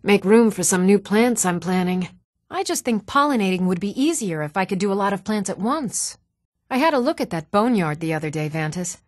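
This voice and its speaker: A woman's voice: lowish voice, dry recording, clean studio sound, close to an everyday voice. A woman as a plain-spoken fantasy village woman. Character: plain-spoken, hardworking village woman, firm motherly townswoman. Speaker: video game voice actor. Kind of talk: scripted fantasy game dialogue.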